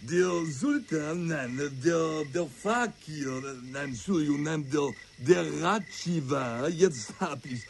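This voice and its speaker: An actor playing a rowdy, blustering adventurer in a radio play. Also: male voice, a little high, lively intonation, slow pace, gravelly, a little hiss or hum, heavily acted.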